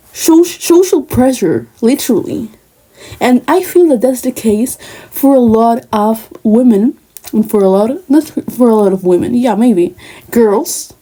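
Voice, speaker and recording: A woman's voice: a little high, dark tone, low-quality recording. A young woman from Spain with a Moroccan family background, talking earnestly and casually to camera with many asides. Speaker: vlogger. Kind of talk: personal talk to camera.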